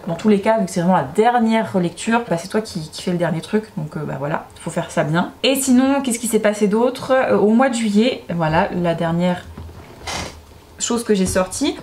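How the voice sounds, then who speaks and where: A woman who writes and self-publishes, talking casually to her viewers at a middle pitch. She is upbeat and chatty and thinks aloud as she goes. A faint hiss sits under her voice. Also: a little mellow. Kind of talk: casual chat to camera.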